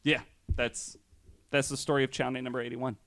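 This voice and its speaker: A young man hosting a casual podcast, retelling a story in a relaxed way with lots of fillers. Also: mid-range voice, a little fast. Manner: relaxed, many fillers, voices others' claims with mock quotes. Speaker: podcast host.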